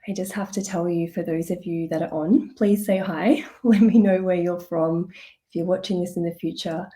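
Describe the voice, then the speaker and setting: Bubbly lady coach doing a live, kinda stuffy from a cold, lowish voice, laughs a lot. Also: fairly even intonation, moderate pace, dark tone. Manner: bubbly and chatty, laughs often. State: slightly congested from a cold. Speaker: business coach. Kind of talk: casual live stream talk.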